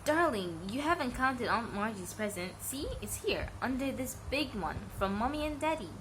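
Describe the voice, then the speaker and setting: A woman voicing a domineering, doting aunt with a sharp tongue in a storybook reading. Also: a little high, animated, normal speed, noisy background, lightly acted. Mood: coaxing, placating. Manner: sharp, bossy, demanding. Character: snappish, domineering aunt who dotes on her son. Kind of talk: storybook character voice.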